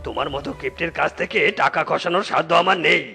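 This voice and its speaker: A man's voice: fairly high pitch, quick pace, creaky, sharp tone, breathy edge, soft background music, exaggerated voice. A man playing an indignant, stubborn village vegetable seller in a cartoon, protesting and haggling. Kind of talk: cartoon comedy dialogue.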